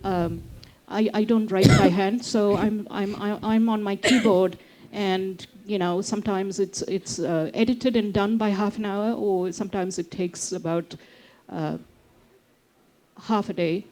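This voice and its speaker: A woman's voice: medium pitch, steady tone, a little mellow. A woman poet from India reading her poem aloud and then talking about her writing with many fillers and restarts. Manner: measured recitation, then hesitant chat with many fillers. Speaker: poet on a festival panel. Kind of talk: poetry reading then panel talk.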